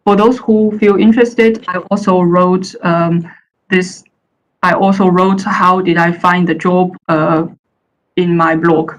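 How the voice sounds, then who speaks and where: Woman from china, chinese her first language, a bit low-voiced, halting presentation delivery. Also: fairly even intonation, low-quality recording. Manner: hesitant, many uh fillers and restarts. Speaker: panel speaker. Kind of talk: presentation talk. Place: the Netherlands.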